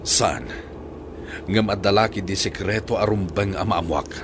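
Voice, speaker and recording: A man playing a remorseful older father and employer in an Ilocano radio drama, with a husky voice and emotional delivery. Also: fairly low pitch, steady tone, normal speed, noisy background, close to an everyday voice. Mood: anxious. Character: remorseful older father, employer seeking his lost son.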